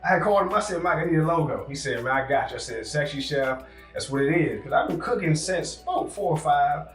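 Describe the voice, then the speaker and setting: A man's voice: fairly high pitch, expressive, fast, roomy sound, far from the mic, soft background music. A young man, a guest chef, chatting casually and telling his story in an easygoing way in a home kitchen. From Virginia, US. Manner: laid-back, easygoing storyteller. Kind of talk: casual chat.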